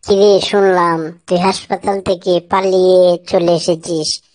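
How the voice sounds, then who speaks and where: A woman's voice: lowish voice, flat delivery, unhurried, a little mellow, no room sound, lightly acted. A woman voicing a cheeky married man and joking buddy in a comic cartoon skit, with exaggerated, teasing delivery. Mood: teasing, incredulous.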